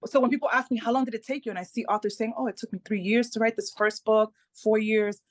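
A woman's voice: a little high, quick pace, no echo. Spanish-first-language Dominican American woman. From Dominican American, parents from the Caribbean. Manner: animated, repeats words, often asks "right?". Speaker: author guest. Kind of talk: casual interview talk.

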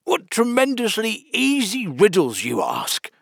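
A man voicing a pompous, touchy egg creature from a storybook, haughty and blustering, with halting stammers. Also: high voice, wildly swooping pitch, creaky, fairly bright tone, slightly rough, dry recording, studio quality, voice pushed up, full character voice. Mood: blustering, scornful. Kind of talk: storybook character reading.

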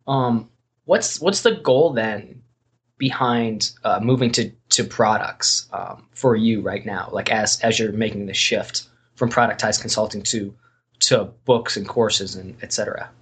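A man's voice: fairly low pitch, a little slow, breathy edge. A man hosting an interview over a call, talking loosely with plenty of "um"s and restarts as he introduces and questions his guest. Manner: loose and rambling, lots of "um" and self-corrections. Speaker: podcast host.

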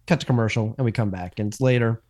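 A man co-hosting a podcast, quick to correct details with emphatic repeated words in casual chat. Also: lowish voice, darkish tone. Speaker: podcast co-host recapping a sitcom episode.

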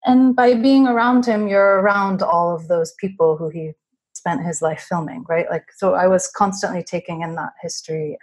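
A woman in her thirties from California, a documentary filmmaker, answering interview questions in a relaxed, chatty way over a remote connection. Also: on the low side, slightly rough, rough recording. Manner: relaxed, chatty, with light laughs. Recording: remote call audio.

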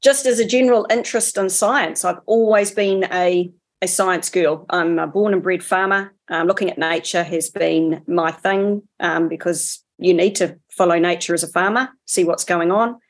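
A woman's voice: lowish voice, fairly even intonation, normal speed, few pauses. A New woman, a farmer, answering interview questions in a plain, matter-of-fact way. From New Zealand. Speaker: guest, farmer.

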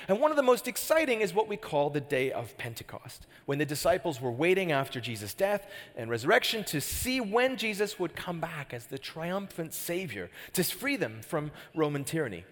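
A man's voice: high voice, very animated, moderate pace. A middle-aged Canadian man preaching a sermon as pastor, chatty and joking, often asking the crowd questions. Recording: hall reverb.

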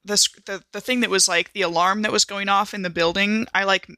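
A woman's voice: medium pitch, steady tone, fairly quick, sharp tone, dry recording. A woman playing a tabletop game, talking in a hesitant, casual way. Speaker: player.

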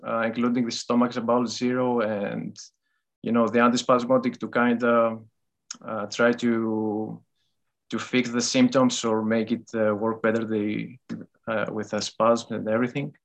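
A man's voice: mid-range voice, little pitch movement, unhurried, vocal fry. A man, an English speaker calling in and talking hesitantly with many fillers. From Greece. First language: Greek. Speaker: caller.

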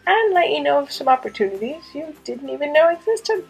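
A woman's voice: sits high, very animated, fairly quick, gravelly, poor audio. A woman podcast host introducing and narrating in a wry, conversational way. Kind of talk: scripted podcast narration and interviewing.

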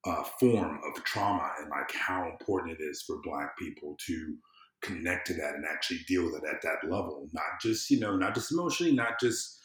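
A man's voice: sits low, lively intonation, fairly quick, fairly bright tone. A man from the American South chatting casually and emphatically as a podcast guest. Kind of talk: casual interview chat. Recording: roomy, echoing sound.

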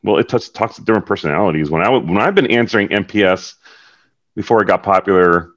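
A man with a very deep voice speaks on a panel in a loose, rambling way, full of "um"s and restarts. The audio is muffled and lo-fi. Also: fast, a bit bright. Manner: rambling, with many fillers. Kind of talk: casual panel discussion.

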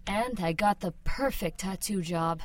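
A woman's voice: mid-range voice, a little slow, natural voice. A woman playing a hot-tempered, foul-mouthed young vampire slayer in a radio drama, curt and defiant. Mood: reflective.

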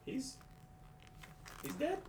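A man with a low voice co-hosting a casual comedy podcast, chiming in with dry one-liners. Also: drawn-out words, a little mellow, a little hiss or hum. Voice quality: low voice, hard to mic. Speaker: podcast co-host.

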